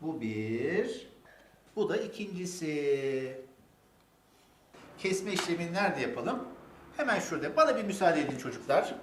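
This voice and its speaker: Older man with lively, swooping intonation. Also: a little high, unhurried, long pauses, a little hiss or hum. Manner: addresses children with endearments and guiding questions. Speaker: grandfather hosting a children's toy-making show. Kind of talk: step-by-step craft instruction for children. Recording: roomy echo with music. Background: music.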